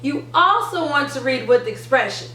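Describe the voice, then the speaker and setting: A woman's voice: high-pitched, lively intonation, moderate pace, sharp tone. A young woman presenting a how-to lesson as a reading teacher, explaining step by step and stressing key words. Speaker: reading teacher and channel host. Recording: roomy, distant mic, faint noise.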